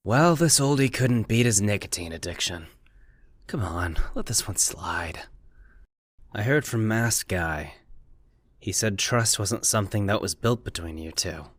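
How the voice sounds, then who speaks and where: An actor playing a nosy, teasing middle-aged smoker in an anime fan dub, with a wry, laid-back, probing manner. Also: male voice, on the low side, lively intonation, a little slow, dry recording, studio quality. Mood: casual probing.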